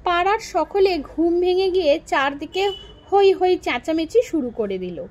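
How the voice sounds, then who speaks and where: A woman narrating a short story as a storyteller, in an expressive, dramatic audiobook style. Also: very high-pitched, moderate pace.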